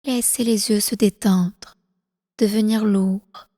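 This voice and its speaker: A woman leading a guided relaxation meditation as instructor, calm and soothing, with slow, deliberate phrasing. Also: mid-range voice, no echo, studio quality, in a large hall. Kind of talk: guided prenatal meditation, yoga nidra.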